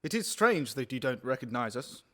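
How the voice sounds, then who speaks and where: An actor playing a polite, probing night watch officer in a period radio play, speaking formally and with measured care. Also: male voice, medium pitch, very animated, sharp tone.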